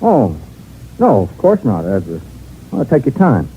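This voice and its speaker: An actor in a 1950s radio drama playing an outwardly affable but uneasy small-town newspaper editor with a placating manner. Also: male voice, mid-range voice, wildly swooping pitch. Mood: flustered, hesitant. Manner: halting, placating. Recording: tinny band-limited old radio recording, faint noise.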